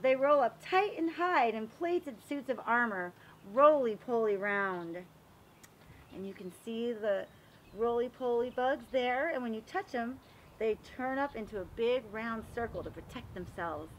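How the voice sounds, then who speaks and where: A woman reading a children's picture book aloud in an expressive, animated way, as if showing it to young listeners. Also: fairly high pitch, measured pace, slight background noise, low-quality recording. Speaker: read-aloud reader for children.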